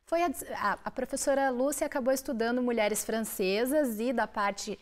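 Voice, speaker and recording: A woman's voice: a little high, moderate pace. A woman hosting an interview, asking long, carefully framed questions of her guests. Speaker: interviewer host.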